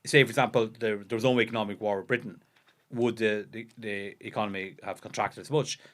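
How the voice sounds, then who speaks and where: A man's voice: on the low side, fairly even intonation. An Irish man co-hosting a history podcast, talking in casual conversation with frequent restarts and fillers. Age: under 50. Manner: fast, halting, many restarts and "you know". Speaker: podcast co-host.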